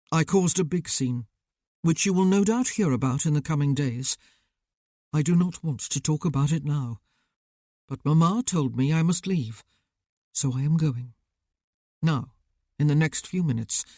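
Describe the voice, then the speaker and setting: A narrator reading as a weary young man from a storybook, calm and measured, in a dark and dry voice. He holds himself steady and restrained. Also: mid-range voice, no echo. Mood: somber.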